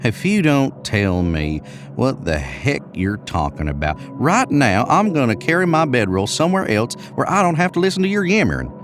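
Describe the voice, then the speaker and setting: Man playing an impatient young cowboy, pitched up. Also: very animated, normal speed, over music, natural voice. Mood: irritated. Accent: American. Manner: irritable, exasperated. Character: young cocky impatient cowboy, easily irritated. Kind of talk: audio horror story character reading.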